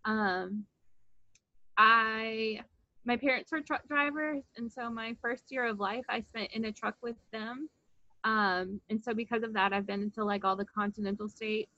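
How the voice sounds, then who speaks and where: Thirties woman, co-host, casual chatty delivery, bright voice, dry muffled webcam sound. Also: a little high, steady tone, measured pace, no room sound. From New Hampshire and Virginia, US. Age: 30s. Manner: chatty, laughs easily. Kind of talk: casual video-call chat. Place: home room. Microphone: webcam on video call. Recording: dull lo-fi call audio.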